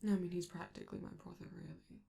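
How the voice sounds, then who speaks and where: A woman voicing a flamboyant, affectionate robot entertainer in an intimate ASMR roleplay, softly and hesitantly, slipping toward whispers with theatrical endearments. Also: fairly low pitch, huge pitch swings, quick pace, gravelly, heavily acted. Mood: light, affectionate.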